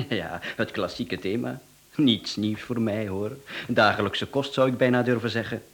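A man's voice: lowish voice, measured pace. An actor playing a polite, teasing, insinuating hired killer who talks like a businessman in a radio play. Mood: teasing, amused.

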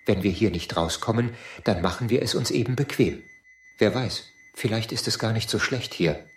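A man playing a reasonable, conciliatory space traveler in an audio drama, speaking in a measured, earnest way. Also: lowish voice, close to an everyday voice. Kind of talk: scripted audio drama acting. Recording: echoey room.

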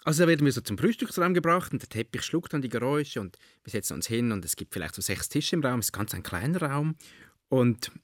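A man's voice: medium pitch, animated, fast talker, no echo, clean studio sound. A man with a Swiss German accent tells an anecdote casually, conversationally searching for words and adding tag questions.

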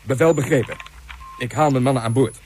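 An actor playing a dutiful military commander in a science fiction radio play, reporting formally in clipped phrases. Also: male voice, medium pitch, a little fast, a little mellow, slight background noise, natural voice. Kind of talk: Dutch science fiction radio drama acting.

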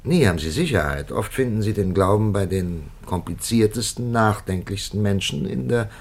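An actor playing a grave, hesitant, philosophical prelate in a 1950s radio play, speaking in a halting, deliberate way. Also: male voice, on the low side, lively intonation, vocal fry. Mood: hesitant, reflective.

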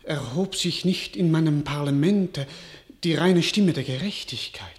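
A defeated, weary young king played by a man in a classical radio drama. He declaims very slowly and solemnly in a fairly high male voice, resigned and despairing. The room echoes around him. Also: close to an everyday voice. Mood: anxious, urgent. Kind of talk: classical German stage verse radio drama.

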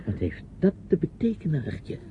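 A man's voice: fairly high pitch, huge pitch swings, normal speed, slight background noise. An actor playing a reproachful, patronising uncle questioning his niece in a radio play. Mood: probing, accusing. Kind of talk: radio drama acting. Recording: dull old radio play recording, music.

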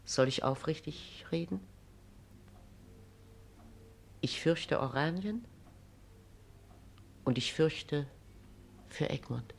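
A woman's voice: deep voice, animated, slow pace, gravelly, a little hiss or hum, heavily acted. A woman playing a proud, aggrieved female regent in a classic German radio drama, declaiming formally and emphatically in standard German. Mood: bitter, anxious.

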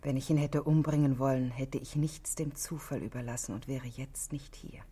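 Cool controlled married woman being questioned, low voice, measured n precise, old 60s german radio drama. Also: steady tone, warm tone, slight background noise. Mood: cool, defiant. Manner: measured, matter-of-fact, precise. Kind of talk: 1960s German radio play acting.

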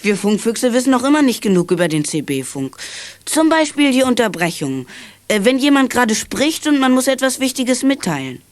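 A woman's voice: a little high, very animated, normal speed. A woman playing a casual, reassuring schoolboy brother and young detective in a children's radio play. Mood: eager.

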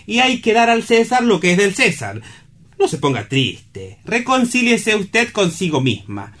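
A man's voice: high-pitched, sing-song, unhurried, fairly bright tone, heavily acted. An actor playing a courteous, wry man in a comic stage play, speaking formally and didactically as he reasons with someone. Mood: reasoning, polite.

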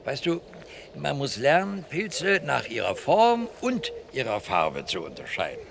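An actor in a radio play as a patient old grandfather with a creaky voice, gently instructive. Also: medium pitch, wildly swooping pitch, unhurried, a little hiss or hum, full character voice. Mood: cautioning, instructive. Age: older.

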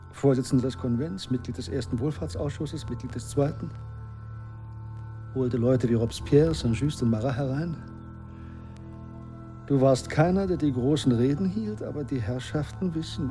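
An actor playing a cutting, sardonic former revolutionary in a German radio drama, dry, measured and needling in his rhetoric. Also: medium pitch, warm tone, soft background music, close to an everyday voice. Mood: rhetorical.

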